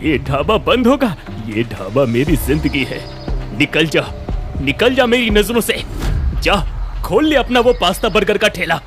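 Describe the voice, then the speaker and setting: A man's voice: on the high side, big pitch swings, a little slow, a bit bright. A man voicing a stubborn, proud middle-aged father who runs a village eatery in a cartoon story, speaking bluntly and emphatically. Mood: indignant anger. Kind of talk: animated cartoon story dialogue. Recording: music under the voice.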